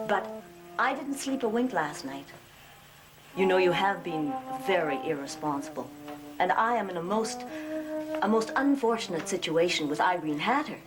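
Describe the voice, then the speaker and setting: An anxious, genteel middle-aged mother in an old gothic TV soap. She is polite but fretful and hesitant, with very expressive pitch and music behind her. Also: a little slow, long pauses, poor audio. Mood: worried, reproving. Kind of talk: gothic soap opera acting.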